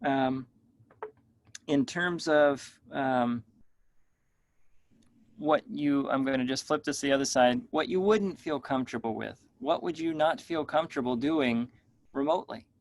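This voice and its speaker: A man moderating a professional discussion over a video call, measured and thoughtful, asking the group open questions. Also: mid-range voice.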